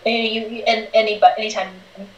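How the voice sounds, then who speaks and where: A woman's voice: medium pitch, little pitch movement, brisk, lo-fi sound. Candid woman in her forties, echoey. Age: 40s. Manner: candid, conversational, laughs easily. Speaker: guest. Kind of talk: casual interview chat.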